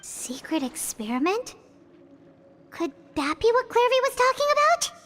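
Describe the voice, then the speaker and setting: Small childlike fairy sidekick for a game: high, lively, worried and chatty. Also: female voice, a little slow, full character voice. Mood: curious. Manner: refers to self in third person, expressive. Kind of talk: video game character acting.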